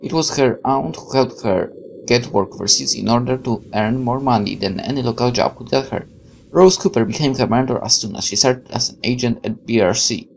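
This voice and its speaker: A man in his twenties reading game text aloud with excitable, chatty asides and some stumbles. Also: medium pitch, a little fast, vocal fry, rough recording. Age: 20s. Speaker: gaming video host. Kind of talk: game let's play, reading aloud and reacting. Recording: faint background noise.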